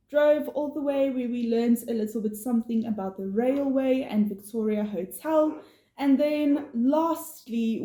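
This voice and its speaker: A woman from South Africa with a fairly high, mellow voice, not a native English speaker, explaining things steadily as she co-hosts a casual vlog. She is recorded in an echoey room. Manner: steady, informative, with fillers. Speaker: vlog co-host.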